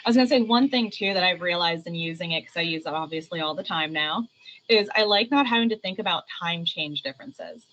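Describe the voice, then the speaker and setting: A woman's voice: lowish voice, brisk, a bit bright. A woman in her thirties or forties chatting casually as a guest on a video call. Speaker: panel guest. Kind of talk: casual chat. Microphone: video call microphone. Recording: noisy, dull video-call audio.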